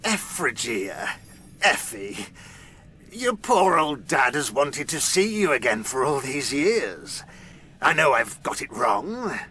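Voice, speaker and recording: A man's voice: medium pitch, sing-song, takes it slowly, pushed and bright, a little hiss or hum, exaggerated voice. An older actor playing a conniving, needy, melodramatic old father in an audio drama, wheedling and theatrical. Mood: wheedling, pleading.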